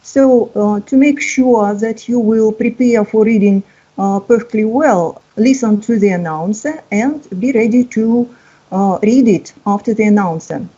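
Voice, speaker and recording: A woman's voice: fairly high pitch, measured pace, warm tone, husky. Hesitant woman teacher in her fifties. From Russia. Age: 50s. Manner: hesitant, many uh fillers, encouraging. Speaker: English teacher. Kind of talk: online exam-prep lesson. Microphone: video call. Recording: dull lo-fi call audio.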